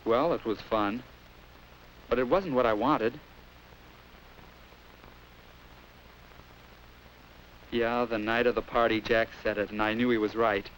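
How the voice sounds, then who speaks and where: Over the faint hiss of an old film track, a young man plays an anxious American high school boy. He confides in an earnest, hesitant way, at an ordinary male pitch, as in a 1950s classroom film. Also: fairly even intonation, normal speed, fairly bright tone, natural voice, rough recording. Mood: troubled. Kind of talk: 1950s instructional film narration and acting.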